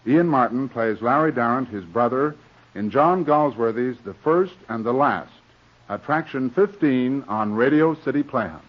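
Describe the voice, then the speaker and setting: Dull old radio recording, male host, medium pitch, formal, courteous, wry. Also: animated, normal speed. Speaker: host and director. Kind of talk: 1940s radio hosting, scripted address to listeners.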